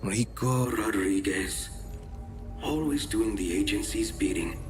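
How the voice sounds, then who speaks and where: A smug corporate mastermind from a video game, middle-aged and suave. He talks down with theatrical mock courtesy. Loud music plays beneath him. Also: lowish voice, expressive, slow pace. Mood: smug, menacing. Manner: mock-polite, condescending, theatrical. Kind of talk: video game cutscene acting. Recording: loud music bed under the voice.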